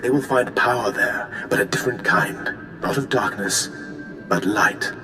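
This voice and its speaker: An actor playing a serene ancient Sith sage speaking as a hologram in a video game, measured and solemn, with an echoing effect. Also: male voice, medium pitch, bright, forceful tone. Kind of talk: video game cutscene acting. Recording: echoing voice over game music.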